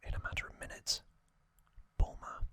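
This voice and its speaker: A man whispering ASMR commentary as the host of a trivia show, speaking in short, measured phrases. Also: pushed and bright, dry recording.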